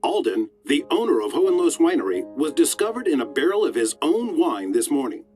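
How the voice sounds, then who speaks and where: Formal TV newsreader in a game drama, raised pitch, swinging intonation, music clearly behind. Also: male voice, big pitch swings, fairly quick, darkish tone. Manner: formal, announcer delivery. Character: male television news reporter, formal and matter-of-fact. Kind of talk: news report.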